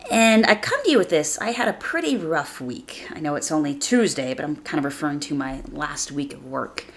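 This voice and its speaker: Low, creaky woman podcaster with a cold. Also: animated, a little fast. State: slightly raspy from a chest cold. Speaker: podcast host. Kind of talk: casual motivational podcast monologue.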